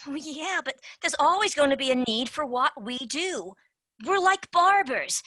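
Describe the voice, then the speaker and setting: Woman voicing a devoted tooth fairy agent, casual and earnest, bright highish voice. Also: lively intonation, measured pace, dry recording, full character voice. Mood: upset, pleading.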